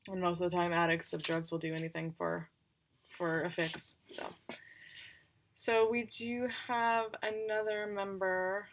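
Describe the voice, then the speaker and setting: A woman's voice: on the low side, flat delivery, unhurried, a little hiss or hum. A woman informally explaining things on a casual tabletop roleplaying livestream, thinking aloud as she goes. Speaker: tabletop roleplayer presenting characters.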